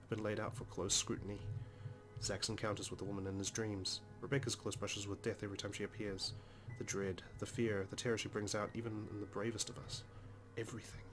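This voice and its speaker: A man reads a game's first-person story aloud. His voice is fairly low and stays within a narrow range, with prominent music behind it. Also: flat delivery, a little fast. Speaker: let's play host reading the game's text. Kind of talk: dramatic reading of first-person story narration. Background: game music.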